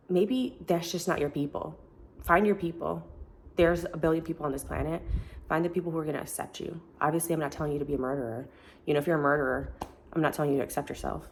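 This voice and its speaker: Woman vlogger, low gravelly voice, chatty and encouraging, filler-heavy. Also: a little mellow, slight background noise. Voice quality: deep voice. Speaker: self-help vlogger. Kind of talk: casual talk to camera. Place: family home, indoors.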